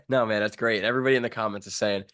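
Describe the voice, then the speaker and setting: A man's voice: on the low side, fairly even intonation, fast, a bit bright. A man hosting a casual sports interview, asking informal, rambling questions full of slang. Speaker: sports show host interviewing a fighter.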